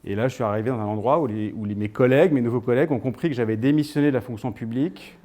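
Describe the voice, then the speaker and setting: A man's voice: medium pitch, rapid-fire, warm tone. A man of thirty-nine, giving an informal personal talk to an audience in a hesitant storytelling manner. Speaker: guest speaker.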